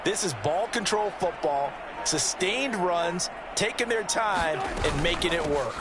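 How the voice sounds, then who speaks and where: Video game football color commentator, American, higher-pitched, folksy and explanatory, heavy stadium noise behind. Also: male voice, lively intonation, measured pace. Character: folksy, knowing male football color analyst. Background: stadium ambience of a football video game.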